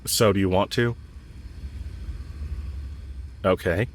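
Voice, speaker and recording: A man playing a lovestruck villain in an intimate audio roleplay, speaking with earnest, halting hesitation and yearning. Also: low voice, fairly even intonation, close to an everyday voice. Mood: earnest, hopeful. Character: lovestruck male villain confessing to his enemy, yearning.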